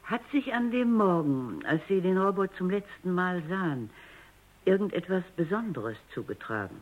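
A woman's voice: on the low side, big pitch swings, normal speed. An actress playing an authoritative, exacting female robot expert in a German radio drama, speaking formally and precisely. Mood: probing. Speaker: narrator and investigator. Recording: slightly dull radio recording.

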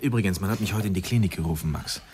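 Man acting expectant father, radio drama, deep bass-leaning voice, weighty, hesitant, skeptical, troubled. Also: very fast, darkish tone, slight background noise, natural voice.

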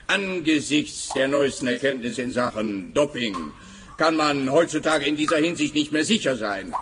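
An actor playing a pompous, dim police official who talks in a self-satisfied, long-winded way in a radio play. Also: male voice, mid-range voice, animated, normal speed, a bit bright, a little hiss or hum, natural voice.

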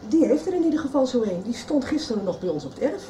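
A woman's voice: on the high side, big pitch swings, quick pace, dark tone, echoey room, recorded from a distance, slight background noise. An actress playing a hesitant, helpful woman in a nineties radio soap. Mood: thoughtful. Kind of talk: 1990s radio soap acting.

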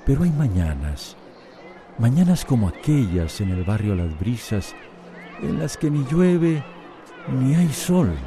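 A man acting as the calm, poetic storyteller of a radio soap. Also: mid-range voice, takes it slowly, faint music behind. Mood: reflective. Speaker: narrator. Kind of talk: scripted radio drama narration.

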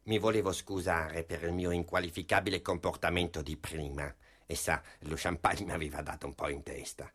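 Pompous, long-winded doctor. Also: male voice, sits low, fairly even intonation, fairly quick, fairly bright tone. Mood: apologetic, florid. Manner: pompous, formal, wordy. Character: pompous male doctor, verbose, self-important therapy director. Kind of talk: Italian radio drama acting.

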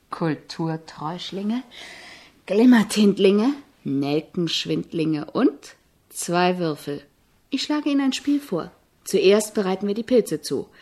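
An actress playing a persistent, politely challenging journalist in a German radio drama, articulate, matter-of-fact and pointed. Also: female voice, mid-range voice, a little mellow. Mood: determined, polite.

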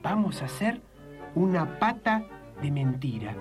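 Woman as an animated low-voiced kids' show leader. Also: unhurried, over music. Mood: conspiratorial. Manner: animated, coaxing, explanatory. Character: bossy, conspiring leader of children in kids' show.

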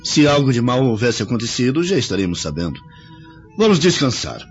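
An actor playing a sensible, reassuring Roman gentleman, firm and cautioning, with a Brazilian accent in a radio drama. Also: medium pitch, very animated, fairly quick, natural voice. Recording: dull lo-fi radio drama, music behind.

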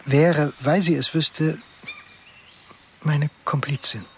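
An actor playing an ashamed middle-aged man in a radio drama, speaking confessionally in deliberate short phrases. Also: on the high side, steady tone, a little hiss or hum. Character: ashamed middle-aged man who secretly lost his job. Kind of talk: German radio drama acting, monologue.

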